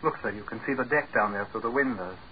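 An actor playing a deferential scientist in a 1940s radio drama, who explains things patiently and politely. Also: male voice, lowish voice, fast, close to an everyday voice. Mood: explaining. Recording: muffled old radio broadcast with faint hiss.

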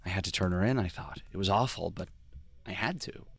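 A man's voice: low-pitched, fairly quick, creaky, husky, heavily acted. A man playing a brooding police detective, narrating a noir audio drama in a wry, confessional way. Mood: brooding, regretful. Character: brooding male police detective recalling his past mistakes. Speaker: lead character and narrator.

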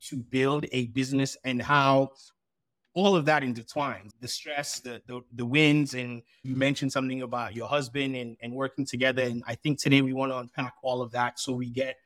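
A man hosting a podcast talks in loose, rambling sentences as he works his way toward long questions. His voice is mid-pitched. The recording is dry and close. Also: flat delivery, moderate pace, fairly bright tone, dry recording. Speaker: podcast co-host. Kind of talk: podcast interview.

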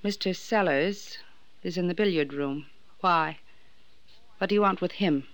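A woman's voice: fairly low pitch, measured pace, dry recording, lightly acted. A woman playing a haughty, suspicious society woman with a clipped, imperious manner, in 1950s radio drama style. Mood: suspicious, defensive.

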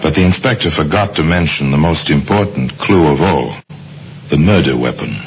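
An extremely deep-voiced man narrates a vintage radio mystery. He is deliberate and wry, with dramatic weight. Music plays behind him on a muffled old recording. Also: animated.

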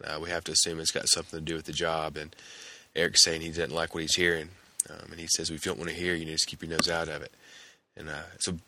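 A male podcast host recapping a TV episode in a casual, hesitant way, his voice hoarse and gravelly from a cold. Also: low voice, pushed and bright. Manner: hesitant, many fillers and restarts.

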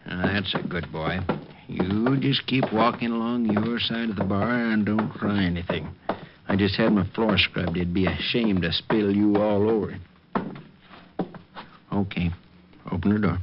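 A man playing a gruff crooked barman in a vintage radio play, voice on the low side. He threatens in a cool, sneering way. The old broadcast is dull with clear hiss. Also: a little slow, close to an everyday voice. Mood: menacing. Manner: menacing, sneering. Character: gruff older male counterfeiter bar owner.

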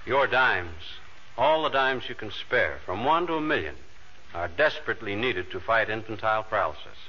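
Earnest, measured man on noisy old radio. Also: lowish voice, very animated, pushed and bright, muffled recording. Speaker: radio show star. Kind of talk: scripted 1940s radio charity appeal.

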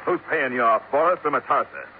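Actor as a gruff straw boss, tinny old radio. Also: male voice, medium pitch, animated, brisk, close to an everyday voice. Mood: hostile, suspicious. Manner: blunt, suspicious, curt. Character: gruff, combative American construction straw boss. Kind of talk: 1950s radio drama acting. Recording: tinny old radio recording, faint noise.

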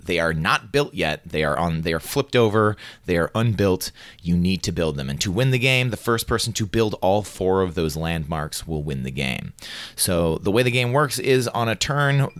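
A man with a fairly low, slightly nasal voice talks as a podcast co-host. He explains game rules in an informal, teasing way. The recording is dry and close. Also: fairly bright tone, no echo.

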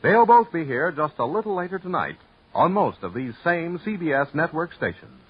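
Casting: male network announcer, fairly high and animated, formal old-time radio delivery, muffled recording. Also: moderate pace, slightly rough. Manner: formal, declamatory. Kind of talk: 1940s-50s radio announcing. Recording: dull vintage radio recording.

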